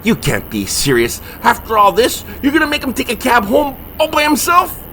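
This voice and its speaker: Man playing an exasperated, wildly swooping high-voiced sidekick. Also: huge pitch swings, moderate pace, sharp tone, a little hiss or hum, exaggerated voice. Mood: incredulous. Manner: sarcastic, whiny. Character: exasperated sarcastic male sidekick, long-suffering, incredulous. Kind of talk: comedy horror audio drama acting.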